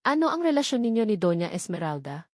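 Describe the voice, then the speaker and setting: A woman's voice: mid-range voice, very animated, quick pace, a little mellow, no echo, studio quality. A woman voicing a cold, suspicious professional man who questions formally in an audio story. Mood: cold, commanding. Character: cold, professional male lawyer, commanding and suspicious.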